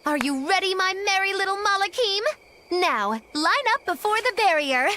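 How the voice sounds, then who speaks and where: Chaos-loving witch, squeaky high, swooping intonation, theatrical, playful, game cutscene. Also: lively intonation, normal speed, background noise. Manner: playful, showy. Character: gleeful, theatrical, mischievous witch who delights in chaos. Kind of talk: English video game voice acting.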